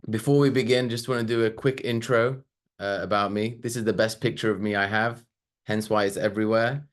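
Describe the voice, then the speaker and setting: A man's voice: lowish voice, fairly even intonation, a little fast. A man, giving a casual, chatty webinar talk with loose asides and fillers. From Sweden. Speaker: webinar presenter.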